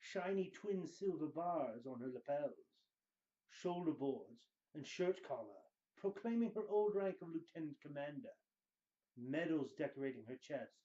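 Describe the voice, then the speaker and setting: A man reading book passages dramatically, with theatrical emphasis and deliberate pauses. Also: fairly high pitch, expressive, mellow, muffled recording. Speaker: reader of book excerpts.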